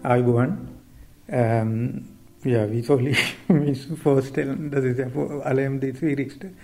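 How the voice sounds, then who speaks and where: A man's voice: mid-range voice, fairly even intonation, slow, warm tone, soft background music. A middle-aged man from Sri Lanka with a Sri Lankan accent, a writer and activist speaking hesitantly with many fillers in a radio interview. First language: Sinhala.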